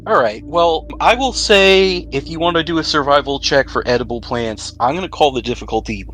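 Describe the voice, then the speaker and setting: A man's voice: on the high side, fairly quick, fairly bright tone. A man acting as game master, casually explaining rules and describing outcomes in a tabletop role-playing game. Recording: music playing behind the voice.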